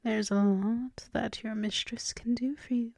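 A woman voicing a seductive, dominant vampire mistress in a breathy, teasing, mostly whispered ASMR roleplay. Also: a little high, steady tone, a little mellow, dry recording, studio quality. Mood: possessive, seductive. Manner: breathy, slow, teasing, coaxing. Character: seductive, dominant vampire mistress doting on her pet thrall.

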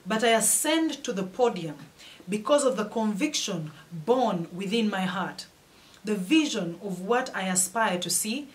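Young adult Ugandan woman, campaign address; formal, measured, declamatory delivery. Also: medium pitch, animated. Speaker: political candidate. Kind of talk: prepared campaign speech. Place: indoors, in front of flags. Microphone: fixed camera, head and shoulders.

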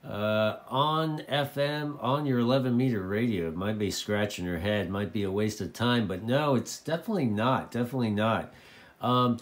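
A man's voice: fairly low pitch, moderate pace. A man informally explaining and demonstrating a product, repeating phrases for emphasis. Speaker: presenter of a radio tutorial. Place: indoors at a desk.

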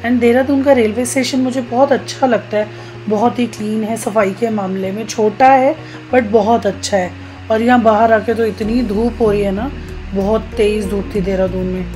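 A woman's voice: a little high, steady tone, moderate pace, mellow, faint music behind. A woman in her thirties from Uttarakhand, India, narrating a casual vlog in a chatty way, talking directly to viewers. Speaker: travel vlogger.

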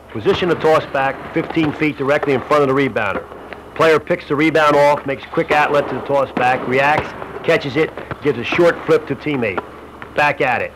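A man reading scripted narration for an instructional basketball film, as a shooting instructor. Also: mid-range voice. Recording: noisy old Super 8 film soundtrack.

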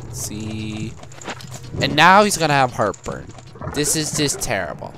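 A man with a cold giving animated, casual commentary while playing a video game at home, with game music behind him. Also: mid-range voice, a little slow. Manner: animated, exclamatory reactions. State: has a cold. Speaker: Let's Play gamer.

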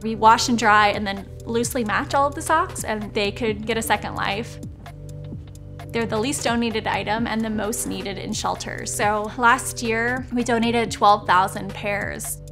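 A woman's voice: a little high, steady tone, normal speed. A woman small business owner speaking in a conversational, earnest way, as in a documentary interview voice-over. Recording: music bed behind the voice. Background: background music.

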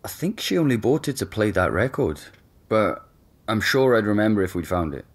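A deep-voiced wry young flatmate. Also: male voice, normal speed. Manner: casual, wry, a little tactless. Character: young man, offhand joking former flatmate.

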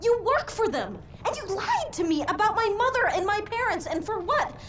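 An actress playing an embittered, defiant young woman in a dramatic video game, questioning in a sharp, confrontational way. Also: very high voice, sing-song, normal speed, a little hiss or hum, exaggerated voice. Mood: angry, hurt. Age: young adult.

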